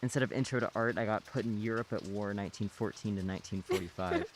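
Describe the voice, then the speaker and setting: A young man playing a relaxed, friendly teenage boy neighbor in a radio play. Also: on the low side, fast, a little hiss or hum, natural voice. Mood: easygoing. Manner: relaxed, friendly, casual slang.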